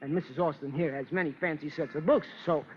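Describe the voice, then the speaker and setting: An actor playing a fussy, oily furniture dealer in an old radio crime drama, hesitant and ingratiating. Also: male voice, a little high, quick pace, lightly acted. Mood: fussy, pushy. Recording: muffled, faintly noisy old radio recording.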